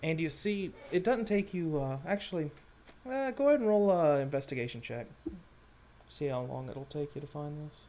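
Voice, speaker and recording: A man's voice: fairly high pitch, lively intonation, moderate pace, mellow. A man improvising narration as game master of a tabletop game, in a casual, meandering way.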